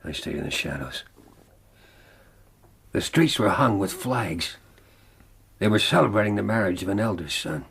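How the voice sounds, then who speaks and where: A gravelly, haunted frontiersman, very low. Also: expressive, moderate pace, pauses often, a bit bright, heavily acted. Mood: bleak, grieving. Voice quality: gravelly, husky. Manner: grave, weary, intense. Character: haunted, desperate male frontiersman recounting his lost love. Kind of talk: radio drama acting and narration.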